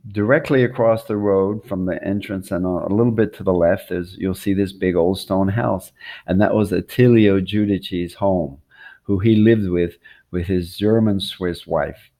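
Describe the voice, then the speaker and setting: Deep, gravelly older man reminiscing. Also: mellow. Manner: halting, reflective, repeats words as he goes. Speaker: storyteller recounting a family-history trip. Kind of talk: unscripted personal reminiscence.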